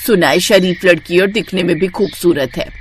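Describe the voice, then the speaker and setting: A woman's voice: lowish voice, moderate pace, a little hiss or hum, close to an everyday voice. A woman voicing a gossipy middle-aged female neighbour in a chatty, familiar manner. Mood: chatty, nudging.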